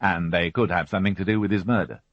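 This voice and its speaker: A man voicing a patient, weary male chief inspector who questions calmly and evenly, in a storybook reading. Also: low-pitched, fast, dry recording, natural voice. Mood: questioning. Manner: calm, level questioning. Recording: dull, dry studio audiobook.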